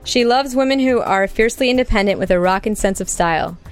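A woman's voice: mid-range voice, quick pace, faint music behind. A woman hosting a podcast dating game, reading contestant bios in a scripted way. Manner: reads contestant bios smoothly. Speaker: podcast host.

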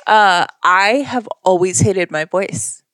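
A woman's voice: lowish voice, takes it slowly, a bit bright, clean tone, dry recording. Chatty woman podcast co-host, dry sound. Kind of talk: casual chat.

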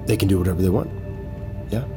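A man's voice: low-pitched, lively intonation, fast, music in the background. A man playing an affable, evasive middle-aged father and security chief in an audio drama, casual and reassuring. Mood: hesitant, evasive.

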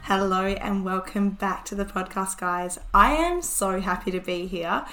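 A woman hosting a podcast, her voice still hoarse from recently losing it, chatting in an upbeat, enthusiastic way. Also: mid-range voice, measured pace, pushed and bright, reverberant, soft background music. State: hoarse, recovering from lost voice. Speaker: podcast host. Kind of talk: casual podcast chat.

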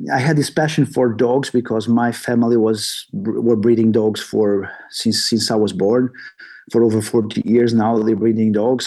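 A man from Portugal with a Portuguese accent, answering interview questions in halting English as he searches for words. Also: fairly low pitch, gravelly, darkish tone. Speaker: podcast guest, bird hunter. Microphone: remote call.

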